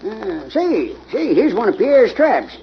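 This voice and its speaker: A man's voice: high-pitched, very animated, takes it slowly, full character voice. A man playing a skeptical, grumbling backwoods sheriff with folksy phrasing in an old radio drama. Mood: wary. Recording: muffled old radio recording, faint noise.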